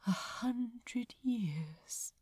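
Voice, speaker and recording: A woman voicing a gentle, wistful male angel from a story, speaking softly, breathily and politely. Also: fairly high pitch, lively intonation, extremely slow, breathy edge, no room sound, voice pushed up, exaggerated voice. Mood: wistful, sorrowful. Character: gentle, wistful male angel, tender toward his friend.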